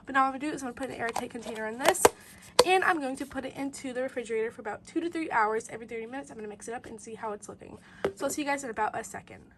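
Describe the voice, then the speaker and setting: A woman's voice: on the high side, rapid-fire. Bubbly young woman with a stuffy cold. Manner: bubbly, upbeat, repeats "you guys". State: congested from a head cold. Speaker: toy and slime channel host. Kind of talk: casual tutorial vlog. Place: bedroom, indoors. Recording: loud air conditioner hum. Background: air conditioner noise.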